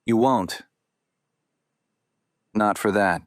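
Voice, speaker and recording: A weary, guarded man in a noir podcast drama. His voice sits fairly low and is recorded close and dry. He speaks tersely and measures out each warning. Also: extremely slow, no echo. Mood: ominous warning. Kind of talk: noir audio drama acting.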